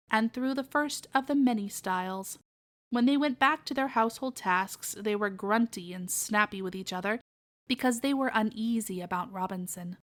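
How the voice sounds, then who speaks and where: Woman reading a kids story aloud, fairly high voice, scripted narration. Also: a bit bright.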